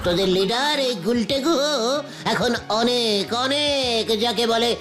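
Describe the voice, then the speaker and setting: A woman's voice: fairly high pitch, expressive, measured pace, soft background music. A woman voicing a boastful man who believes he has just got rich, in a cartoon. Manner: bragging, loud and laughing. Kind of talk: Bengali cartoon voice acting.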